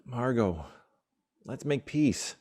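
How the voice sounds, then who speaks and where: A man's voice: medium pitch, expressive, slow pace, a little mellow. A man playing the exasperated but loving boyfriend of a stage diva in a radio play, with wry, emphatic phrasing. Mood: weary, resigned. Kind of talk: radio play acting of classic film dialogue.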